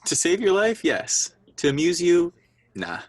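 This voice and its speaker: Man playing defensive young boyfriend, lively swooping pitch, dry close sound, bantering, contemporary radio play. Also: measured pace, no room sound, full character voice. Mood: wry. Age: young adult. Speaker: student voice actor. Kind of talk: contemporary radio drama dialogue.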